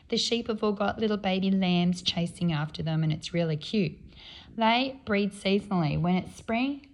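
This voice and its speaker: A woman, croaky from a cold, hosting a podcast and explaining things in a chatty, off-the-cuff way with frequent ums. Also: mid-range voice. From Australia. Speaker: podcast host and cat breeder.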